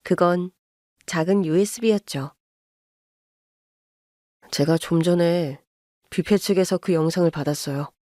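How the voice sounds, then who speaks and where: Woman doing a young husband, lowered voice, measured, firm and restrained, dry close sound. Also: mellow, no room sound, lightly acted. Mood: calm resolute.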